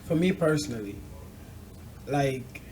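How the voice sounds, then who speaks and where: Probing male interviewer, mid-pitched voice. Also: animated, a little mellow, a little hiss or hum, low-quality recording. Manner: measured, probing, builds points in short phrases. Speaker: interviewer, host. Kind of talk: conversational discussion.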